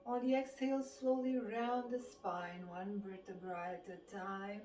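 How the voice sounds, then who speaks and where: A woman with a Romanian accent leads a qigong session, giving slow, calm cues that follow the breath. Her voice sits at a middle pitch and comes from some distance off the mic, with a roomy echo around it. A faint hum of ambience sits underneath. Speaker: fitness instructor. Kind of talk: guided qigong class instruction.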